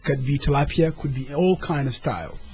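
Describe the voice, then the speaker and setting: A man's voice: medium pitch, normal speed, mellow, faint music behind. A man from Senegal, a guest talking earnestly and sometimes searching for his words. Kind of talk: casual interview talk.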